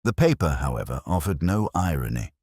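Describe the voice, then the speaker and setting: A man playing a melancholy middle-aged English police detective, narrating in a measured, literary and wistful way as if from a notebook. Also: a bass voice, big pitch swings, a little mellow, no room sound, clean studio sound, heavily acted. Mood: wry melancholy. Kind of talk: scripted first-person dramatic narration.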